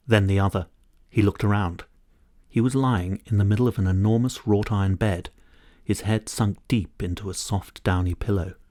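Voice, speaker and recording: A man reading a children's storybook aloud in expressive, scripted narration. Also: on the low side, dry recording, clean studio sound. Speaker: audiobook narrator.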